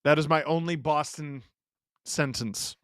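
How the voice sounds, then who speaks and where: A man's voice: on the high side, a little slow, a bit bright, clean tone, dry recording, clean studio sound. A man in his thirties from Canada hosting a live hockey watch-along, joking with chat and reacting to plays. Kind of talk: casual live-stream commentary.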